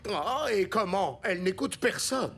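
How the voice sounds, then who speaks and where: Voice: mid-range voice, animated, moderate pace, fairly bright tone, breathy edge, faint music behind. An actor voicing an anxious pirate companion who reasons and protests fretfully in a French children's cartoon. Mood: worried.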